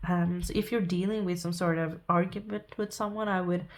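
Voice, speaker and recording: A woman with a Norwegian accent giving a pick-a-card tarot reading, sniffly and halting, restarting her thoughts as she speaks. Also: on the low side, steady tone. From Norway. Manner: halting, thinking aloud with restarts and soft laughs. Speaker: tarot reader.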